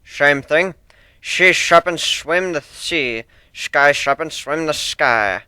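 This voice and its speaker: A grizzled old sea dog of a cartoon pegasus, played by a man, stern and deadpan. He talks bluntly with a salty seafaring swagger, forceful and bright in a close, dry recording. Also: fairly high pitch, slow pace, clear, resonant tone, dry recording, heavily acted. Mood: gruff, reassuring. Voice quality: stern, slurred S sounds, rolled R's.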